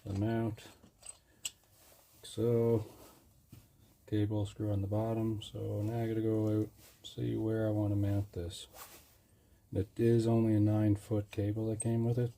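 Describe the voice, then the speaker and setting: A man with a somewhat low voice talks casually to a handheld camera as he works through a DIY project. His intonation stays very flat, and he thinks aloud in a hesitant, unscripted way. Clear background noise and vehicle ambience sit behind him. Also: unhurried, pauses often.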